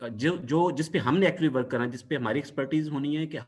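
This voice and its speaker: A man, a student asking questions in an online class, haltingly thinking aloud and rephrasing as he goes. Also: on the high side, a little mellow, breathy edge.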